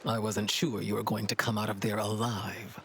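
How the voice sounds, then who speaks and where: An actor playing a plain-spoken servant of the inquisition in a fantasy game, talking in an informal, matter-of-fact way. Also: male voice, on the low side, flat delivery, moderate pace, slight background noise, natural voice. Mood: wary, curious. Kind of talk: fantasy game dialogue acting.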